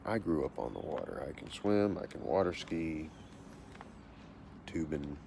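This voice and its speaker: A man in his forties from Texas with a deep voice, reading out questions and answering them plainly in a casual self-interview. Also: animated, a little slow, vocal fry, mellow. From Texas, USA. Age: 40s. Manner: reads each question, then answers plainly and matter-of-factly. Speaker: vlogger answering a question tag. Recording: noisy home recording.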